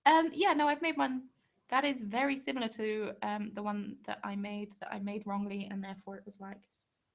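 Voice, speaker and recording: A woman's voice: mid-range voice, steady tone, normal speed, gravelly. A young English woman hosting a craft channel's live stream, chatting casually and rambling with frequent ums.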